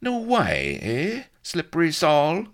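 A man's voice: a little high, wildly swooping pitch, takes it slowly, pitched above the performer's own voice, full character voice. Man as a scornful, hard-edged roguish colonel, raised voice. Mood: needling, sardonic. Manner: blunt, interrogating, sardonic.

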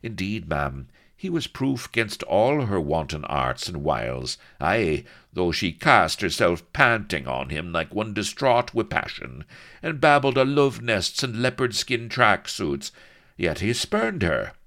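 A man's voice: lowish voice, big pitch swings, measured pace, fairly bright tone, voice pushed up, full character voice. A man voicing a sly, sardonic shipmate who speaks unctuously. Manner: unctuous, mocking.

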